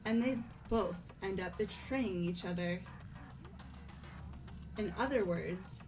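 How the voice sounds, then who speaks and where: A woman's voice: medium pitch, darkish tone, lightly acted, rough recording. A woman voicing a serious, explanatory student character in an amateur game dub.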